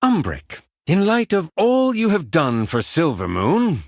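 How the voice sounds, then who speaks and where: A man's voice: a little high, wildly swooping pitch, unhurried, no room sound, studio quality, heavily acted. A man playing a proud, fussy elven magister in a fantasy game, formal and grudging in delivery. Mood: grudging formality.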